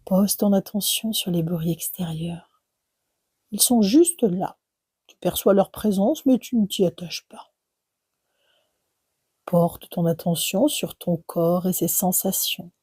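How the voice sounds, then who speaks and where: A woman leading a guided relaxation in a soft, breathy voice that often nears a whisper, soothing and unhurried, with gentle pauses between short phrases. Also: lowish voice, no echo. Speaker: yoga nidra relaxation guide. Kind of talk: guided meditation narration.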